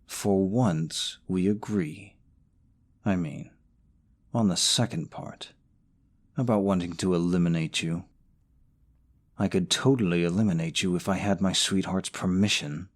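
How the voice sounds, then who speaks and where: A man's voice: low voice, a little slow, pauses often. A young man acting in an audio roleplay as a scheming, possessive boyfriend, falsely sweet and coaxing, snide about his rival.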